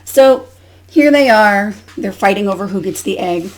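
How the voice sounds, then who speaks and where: A woman's voice: mid-range voice, expressive, normal speed, a little hiss or hum, rough recording. A woman giving an instructional demonstration as a teacher educator, explaining and modelling questions as if to young pupils.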